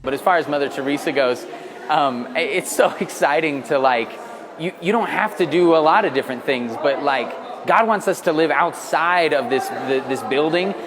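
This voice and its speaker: A man's voice: a little high, moderate pace, crowd noise. A young man attending a conference, answering an interview in an earnest way and filling gaps with "like" and "uh". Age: young adult. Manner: earnest, with fillers like "like" and "uh".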